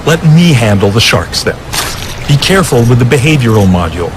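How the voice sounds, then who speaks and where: An actor playing an earnest marine biologist in a game cutscene. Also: male voice, mid-range voice, very animated, a little fast, over music, lightly acted. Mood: directing. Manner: earnest, insistent. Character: urgent marine biologist, adult man, earnest. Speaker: field scientist.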